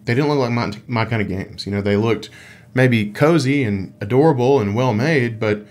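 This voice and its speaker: Guy podcast cohost just chatting games, average pitch, pretty enthusiastic and informal. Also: moderate pace, a little mellow. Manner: enthusiastic, emphatic, informal with swearing. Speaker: podcast co-host. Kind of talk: casual chat about video games.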